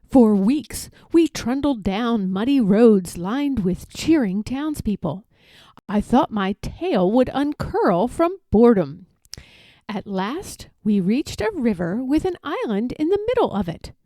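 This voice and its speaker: A woman reading a children's picture book aloud as an expressive, deliberate storyteller. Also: fairly high pitch, no room sound.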